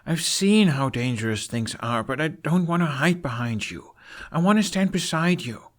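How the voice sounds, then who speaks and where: A man's voice: on the high side, lively intonation, normal speed, voice pushed up, lightly acted. A man voicing an anxious, earnest young son who pleads and stammers, in an audiobook-style story reading. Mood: pleading.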